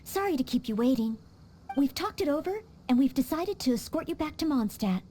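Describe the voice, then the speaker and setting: A woman's voice: high voice, lively intonation, brisk. A woman voicing an earnest, dutiful young maid who trains as a knight in a video game, speaking politely and formally. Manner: polite, formal and eager to help. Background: soft game music.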